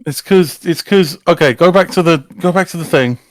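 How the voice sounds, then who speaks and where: A man's voice: a little high, moderate pace, no echo. A man in casual chat, talking someone through a technical fix, often stammering and repeating words. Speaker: live-stream participant giving technical help.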